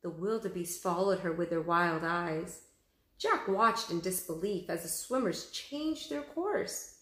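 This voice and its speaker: A woman teacher reads a story to children in a bright, forward voice. Her pace is slow and deliberate, with expressive delivery, and the room around her sounds echoey. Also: lowish voice. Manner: expressive, addressing young pupils. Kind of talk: children's story read-aloud.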